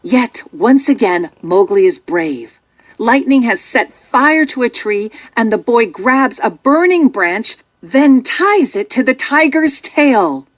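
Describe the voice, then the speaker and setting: A woman narrating a children's storybook from a script in an expressive, dramatic storytelling manner. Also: fairly high pitch, slow, no echo.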